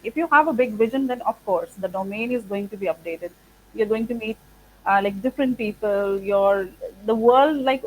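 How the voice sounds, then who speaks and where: A woman's voice: medium pitch, a little hiss or hum, low-quality recording. A woman whose first language is Punjabi, a spoken English trainer, answering interview questions thoughtfully in English with many fillers like "like" and "uh". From India. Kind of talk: interview conversation.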